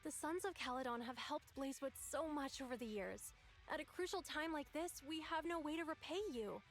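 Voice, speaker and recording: A woman's voice: sits high, brisk, faint music behind. An actress playing an earnest, apologetic young townswoman in a video game, speaking sincerely and pleadingly.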